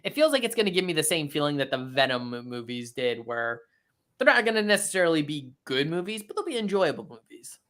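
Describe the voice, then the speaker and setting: A man's voice: a little high, big pitch swings, quick pace, pushed and bright. A man chatting casually about films as a guest, rambling and conversational, looping back through filler phrases. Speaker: guest co-commentator. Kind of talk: casual film chat.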